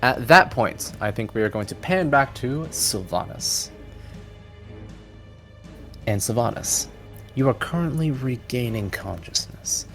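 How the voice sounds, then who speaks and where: A man narrating a tabletop fantasy game as game master, in a deliberate, descriptive storytelling manner. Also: mid-range voice, lively intonation, fairly bright tone, faint music behind.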